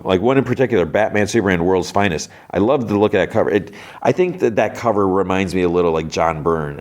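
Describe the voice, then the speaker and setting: A man with a very low, deep voice and a stuffy, congested nose talks through a solo podcast segment. He rambles casually, often restarting sentences and trailing off mid-thought. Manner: rambling, self-interrupting, many restarts. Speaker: podcast host. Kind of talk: casual solo comics chat.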